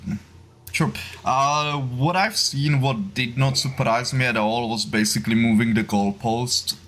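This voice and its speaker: A man's voice: mid-range voice, steady tone, measured pace, a bit bright, a little hiss or hum. A man from the Czech Republic with a Czech accent, a native Czech speaker, chatting casually as a panelist. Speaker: YouTuber, podcast panelist.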